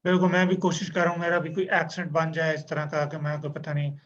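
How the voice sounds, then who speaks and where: Chatty host with a flat voice. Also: male voice, fairly high pitch, fast talker. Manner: chatty, agreeable, joking asides. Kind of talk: casual Urdu-English interview. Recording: dull lo-fi sound with faint noise.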